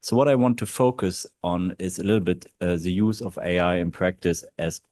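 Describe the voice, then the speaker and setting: A man's voice: deep voice, normal speed, a little mellow, no echo. A man from Germany with a German accent, speaking as in-house counsel on a panel, hesitant with many fillers. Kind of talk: panel talk at a law event. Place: large historic hall.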